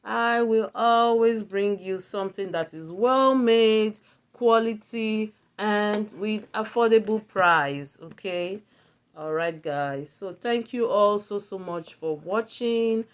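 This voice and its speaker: Slow-paced Nigerian woman, casual reviewer. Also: medium pitch, clear, resonant tone. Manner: repeats words for emphasis. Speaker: video blogger reviewing handbags. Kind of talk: casual product review to camera.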